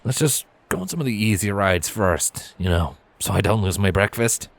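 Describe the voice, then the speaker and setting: A man voicing a gentle, bookish young male pony who is awkward and reassuring, in a storybook reading. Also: lowish voice, expressive, normal speed, vocal fry. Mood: weak, reassuring.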